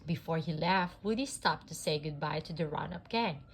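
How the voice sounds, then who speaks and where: A woman reading a children's story aloud in a deliberate, expressive narrating style. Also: sits low, gravelly, a little hiss or hum. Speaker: storybook reader.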